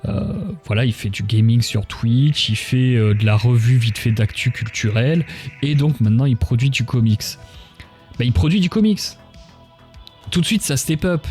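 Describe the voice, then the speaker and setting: A man with a mellow, soft-edged voice in a casual livestream conversation. He speaks colloquial opinion, blunt and emphatic. Light music plays behind him. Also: mid-range voice, normal speed.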